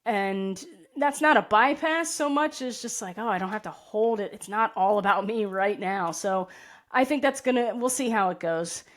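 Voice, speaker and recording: Woman, raspy tired voice, casual forecaster, rambling monologue, middle pitch. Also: moderate pace. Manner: rambling, self-correcting, frequent "right?" and "you know". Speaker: astrology forecaster.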